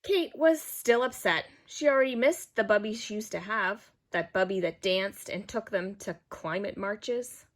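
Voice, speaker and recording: A woman reading a children's picture book aloud in an expressive, animated way, as a storyteller. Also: mid-range voice, a little slow, few pauses. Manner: animated, acts out character dialogue. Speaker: storybook reader.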